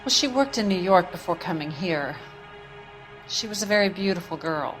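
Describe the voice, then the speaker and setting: An actress playing a composed, businesslike dress shop manager in a video game, polite and measured. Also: female voice, lowish voice, animated, natural voice. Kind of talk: video game cutscene acting. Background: faint music.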